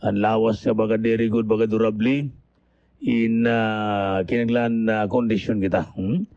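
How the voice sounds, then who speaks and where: A man's voice: fairly low pitch, little pitch movement, a little slow, a little mellow, no room sound. A man with an Eastern Visayan Waray accent hosting early morning radio, chatting casually to listeners with questioning tags. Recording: dull AM radio broadcast.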